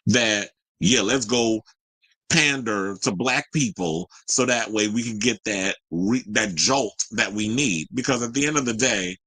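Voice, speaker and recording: Bright, forceful male entertainment host. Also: fairly low pitch, a little slow, no room sound, low-quality recording. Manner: conversational and opinionated, with slangy asides while reading news. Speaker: talk show host. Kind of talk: casual entertainment news commentary.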